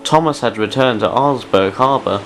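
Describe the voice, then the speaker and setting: A man's voice: medium pitch, moderate pace, a bit bright, faint music behind. A man narrating a scripted adventure story for an amateur audio drama.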